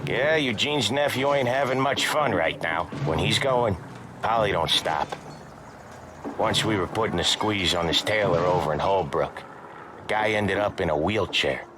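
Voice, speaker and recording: An actor playing a smooth-talking, threatening American mobster in a 1930s crime game. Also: male voice, fairly low pitch, lively intonation, a little slow, noisy background. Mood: casual, dry. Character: menacing, smooth-talking middle-ranking mobster Sam, dry and threatening. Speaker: mob enforcer.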